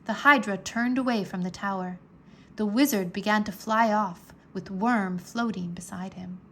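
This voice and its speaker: A woman narrating a children's adventure story aloud in an expressive, measured, dramatic storytelling manner. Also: medium pitch, a little hiss or hum.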